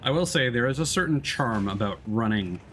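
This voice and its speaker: A man's voice: mid-range voice, expressive, brisk, fairly bright tone. A man giving casual live gameplay commentary as a streamer, with wry, playful asides as he narrates what he is doing. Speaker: video game streamer. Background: music behind the voice.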